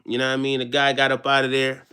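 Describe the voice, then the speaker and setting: A man's voice: mid-range voice, monotone, fast talker, sharp tone, smooth. A man touched by talk, chatting casually on a live stream in a slangy way, often checking that listeners follow. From Jamaica. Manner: slangy, repeats check-in tag phrases.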